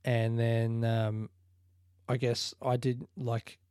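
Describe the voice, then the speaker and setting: A man's voice: medium pitch, flat delivery, slow, warm tone, clean tone, no echo, clean studio sound. A man, a comedian and podcast host telling a long rambling story with loose, profane phrasing and laughter.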